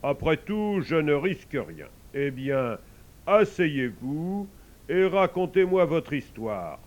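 A man voicing a stern old pope, loud and grand in manner. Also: fairly high pitch, sing-song, slow. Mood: stern grandeur.

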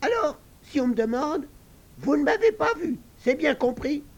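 A man's voice: very high-pitched, big pitch swings, moderate pace, highs cut off, pitched above the performer's own voice. A man voicing a sly little pink pig that begs breathlessly and then wheedles. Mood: wheedling.

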